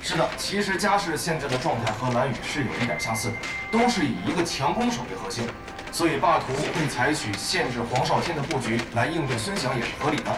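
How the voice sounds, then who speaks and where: A man's voice: mid-range voice, lively intonation, fast. A man playing an assured esports analyst in an audio drama, explaining matches conversationally as a broadcast over speakers. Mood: analytical. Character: measured male esports analyst, co-commentator. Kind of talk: sports commentary in an audio drama. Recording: echoey, loud music behind.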